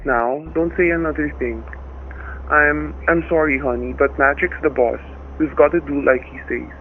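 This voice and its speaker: A man's voice: a little high, fairly even intonation, moderate pace, lightly acted. An actor playing a worried, cowed husband and father in an old radio drama, narrating and speaking in terse, plain hard-boiled style. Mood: anxious, pleading. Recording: tinny vintage radio recording, background noise.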